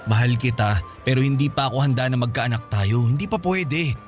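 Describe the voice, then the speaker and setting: Guy doing a selfish young boyfriend, radio drama, talks fast, pushy and evasive, music behind him. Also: mid-range voice, lightly acted. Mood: defensive, coaxing. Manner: defensive, insistent. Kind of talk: Filipino radio drama acting.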